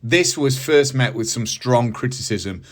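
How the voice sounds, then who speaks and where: A British man in middle age speaks at an average male pitch as the host of a review show. His delivery is scripted commentary loosened by casual asides. He phrases his points with emphasis and enthusiasm. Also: animated, measured pace. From United Kingdom. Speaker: host of a movie review channel.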